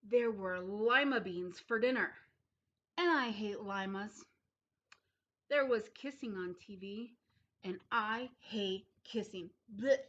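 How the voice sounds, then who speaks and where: A woman's voice: medium pitch, takes it slowly, fairly bright tone, lo-fi sound. A woman reading a picture book as a grumpy, put-upon young boy, stressing and stretching words emphatically. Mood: exasperated, complaining. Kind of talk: children's picture book read-aloud.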